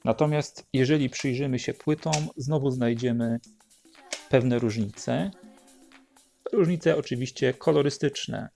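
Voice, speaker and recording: Mellow man with a creaky edge. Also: mid-range voice, unhurried, soft background music. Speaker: host presenting a record collection. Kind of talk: unhurried show-and-tell narration.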